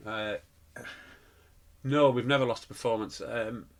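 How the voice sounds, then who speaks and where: A man's voice: mid-range voice, unhurried. A middle-aged man, a pantomime performer telling stories in an interview, rambling with fillers and restarts. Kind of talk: casual interview chat.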